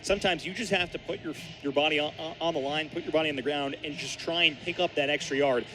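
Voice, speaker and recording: A man's voice: fairly high pitch, quick pace. A young man giving explanatory, analytical color commentary on a live football radio broadcast. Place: outdoor football stadium broadcast. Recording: stadium broadcast with loud ambient noise.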